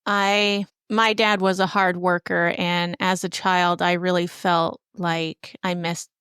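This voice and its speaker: Low, clear-voiced woman hosting a podcast. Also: little pitch movement, slow, a bit bright, no echo, clean studio sound. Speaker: podcast host.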